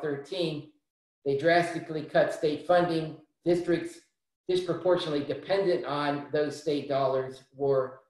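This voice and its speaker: Middle-aged man, slightly high voice, measured policy briefing, webcam audio in a very echoey room. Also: darkish tone. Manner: measured, explanatory, frequent restarts and fillers. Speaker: policy speaker. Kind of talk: virtual town hall briefing. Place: home room, indoors. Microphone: webcam on a video call.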